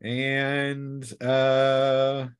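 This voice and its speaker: A man acts as game master for a tabletop role-playing session, with a mid-pitched voice. He narrates combat and dice rulings casually and playfully. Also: monotone, drawn-out words, clear, resonant tone. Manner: playful, chuckling, acts out sound effects and shouts.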